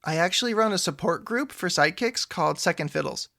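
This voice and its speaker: A man's voice: high-pitched, lively intonation, fast, creaky, pushed and bright, heavily acted. A man playing an earnest, awkward, chatty sidekick hero in an audio drama. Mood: eager, friendly. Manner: chatty, earnest, self-effacing.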